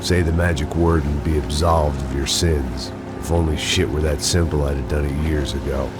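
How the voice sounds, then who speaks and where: An actor playing a weary, hard-drinking ex-cop who narrates in a sardonic, hard-boiled voice-over, with a gravelly, deep voice. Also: male voice, fairly even intonation, moderate pace. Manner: bitter, sardonic. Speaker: narrator of a game. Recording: game audio with loud music.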